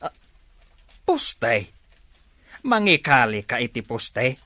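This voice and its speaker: A man's voice: mid-range voice, big pitch swings, takes it slowly, bright, forceful tone, no echo, full character voice. A man playing an exasperated older village father in a comedy radio drama, nagging emphatically. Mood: scolding, skeptical. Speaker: actor.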